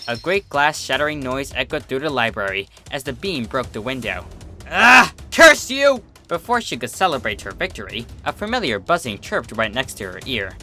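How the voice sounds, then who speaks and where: A man narrates fan fiction with a lot of movement in his pitch. His voice is bright and forceful, the delivery dramatic and scripted, with soft music underneath. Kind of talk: expressive scripted story narration.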